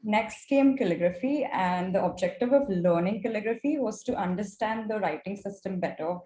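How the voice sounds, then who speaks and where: A young woman with an Indian accent and Gujarati as her mother tongue presents prepared material. Her voice sits at an average pitch. The recording is roomy and echoey, with some background noise. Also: normal speed, warm tone. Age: young adult. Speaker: mentorship student presenting her project.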